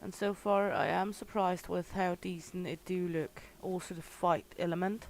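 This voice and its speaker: A woman with a slightly hoarse voice and a Danish mother tongue, casually commenting as she plays a game on a livestream and greeting her viewers by name. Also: on the low side, steady tone, slight background noise. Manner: unhurried, chatty, greets viewers warmly by name. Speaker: gaming streamer.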